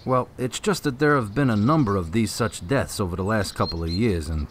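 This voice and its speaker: A low-pitched, plain-spoken policeman, played by a male actor in an audio drama. He sets things out in a measured, matter-of-fact way, methodical but uneasy. A little noise sits behind the voice. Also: lightly acted. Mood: doubtful.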